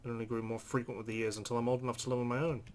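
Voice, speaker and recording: A man's voice: mid-range voice, flat delivery, rapid-fire, a little mellow. Man narrating over background noise. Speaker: let's play host. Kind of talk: reading visual novel narration aloud, with brief asides.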